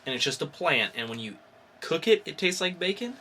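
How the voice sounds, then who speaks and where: A man's voice: a little high, very animated, a little fast, pushed and bright, husky. A man co-hosting a podcast in casual, informal banter. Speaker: podcast co-host.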